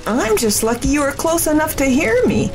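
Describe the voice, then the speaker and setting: A woman's voice: high voice, big pitch swings, moderate pace. A woman acting in an audio drama as a shaken, grateful neighbourhood woman rescued from a fire. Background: faint background music.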